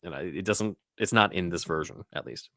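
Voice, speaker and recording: A man hosting a podcast, giving casual scene-by-scene film commentary in a halting, conversational, explanatory way. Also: deep voice, fairly bright tone. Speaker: podcast host.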